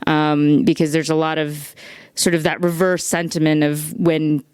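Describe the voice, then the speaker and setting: A woman podcast host speaks in a fairly low voice with a dry, close sound. She asks long, winding questions and sounds curious about the answers. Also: flat delivery, measured pace, a little mellow, clean tone, dry recording. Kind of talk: conversational interview questions.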